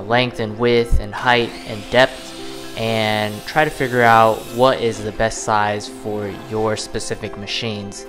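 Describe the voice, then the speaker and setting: Man, 30s, laid-back DIY host walking through a build, mid-range voice, clear music bed underneath. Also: mid-range voice. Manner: relaxed, explanatory, frequent "uh" fillers. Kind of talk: casual how-to narration. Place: small home laundry room, then voice-over over garage workshop footage. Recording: voice over clear background music. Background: background music.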